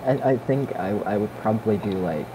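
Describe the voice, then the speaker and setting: A man's voice: on the low side, a little fast. A young man chatting casually with a friend during a game, teasing and rambling. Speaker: co-player in gaming video. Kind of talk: casual chat while gaming. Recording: noisy voice chat recording. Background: clear background noise.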